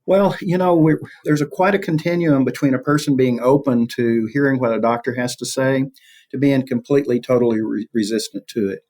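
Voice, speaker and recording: A man's voice: medium pitch, fairly even intonation, a little fast, darkish tone, poor audio. A man speaking as an expert interview guest, explaining things in a conversational, reflective way and rephrasing as he goes.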